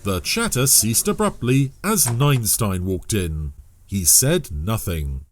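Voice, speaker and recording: A man's voice: fairly low pitch, sing-song, slow. A man narrating a scripted audio drama as a storyteller. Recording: music bed under the voice.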